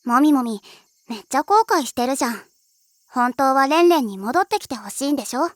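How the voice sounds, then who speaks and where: A woman's voice: high-pitched, expressive, fairly quick, no room sound, studio quality. A woman voicing a cute, candid teenage bandmate in an anime, with casual girlish speech and a caring, blunt way. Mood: earnest, pressing. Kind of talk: anime voice acting.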